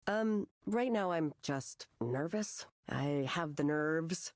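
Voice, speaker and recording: An actress playing an anxious, self-mocking young artist in a visual novel, with an American accent and a hesitant, rambling way of talking. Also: female voice, low-pitched, expressive, slow pace, darkish tone, no echo, clean studio sound. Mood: nervous. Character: nervous, self-deprecating, struggling young woman artist. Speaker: counseling client. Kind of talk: visual novel voice acting, conversational confession.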